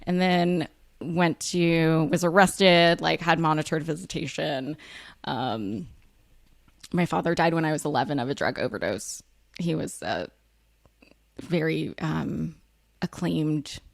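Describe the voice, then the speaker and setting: A woman, talking candidly as an interview guest, frank and self-deprecating with lots of fillers and quick laughs. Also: low-pitched, gravelly, no echo, clean studio sound. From United States. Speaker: author and guest.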